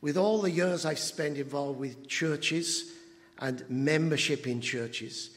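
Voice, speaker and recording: A man, preaching an anniversary sermon as pastor, halting with fillers and building to emphatic moments. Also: fairly high pitch. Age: 70s. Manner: slow, halting with many fillers, rising to emphatic. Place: church hall. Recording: long church reverb.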